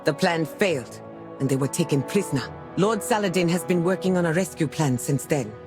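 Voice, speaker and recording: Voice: mid-range voice, a little fast, music in the background, natural voice. An actor playing a stern, proud envoy warrior in a video game, speaking formally and curtly. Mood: brisk, curt. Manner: formal, curt, commanding. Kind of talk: dramatic video game cutscene acting.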